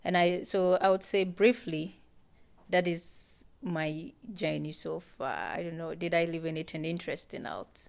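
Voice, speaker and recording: Creaky-voiced young woman from Ghana. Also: on the low side, steady tone, unhurried. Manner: hesitant, repeats words, laughs easily. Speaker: guest. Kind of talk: casual live-stream interview.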